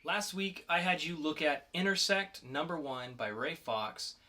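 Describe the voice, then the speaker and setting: A man's voice: fairly high pitch, a little slow, a crowd in the background. A man co-hosting a podcast, chatting casually about comics. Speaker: podcast co-host.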